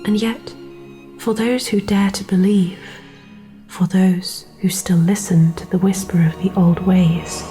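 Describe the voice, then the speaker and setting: A gentle, solemn storyteller of fairy legend, voiced by a woman, speaks in a hushed, breathy murmur. The delivery is slow and mellow, in fantasy ASMR style, over faint music. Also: medium pitch, fairly even intonation, natural voice. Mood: hushed wonder. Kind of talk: cinematic fantasy ASMR narration.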